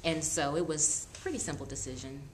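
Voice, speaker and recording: A woman's voice: low-pitched, a little fast, slight background noise, low-quality recording. A woman in her thirties chatting as a guest, easygoing and matter-of-fact. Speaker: guest mom. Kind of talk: casual chat.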